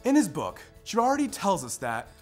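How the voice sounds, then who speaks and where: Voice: mid-range voice, huge pitch swings, moderate pace, over loud music. A performer narrating a scripted readers theater piece, presenting quotations and linking ideas. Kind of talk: scripted narration, staged reading of a research presentation.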